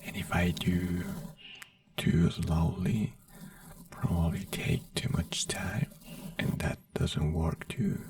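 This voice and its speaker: An ASMR creator in a doctor roleplay, whispering softly in slow, soothing, simple reassuring phrases. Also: sits low, animated, gravelly, no room sound, distant mic.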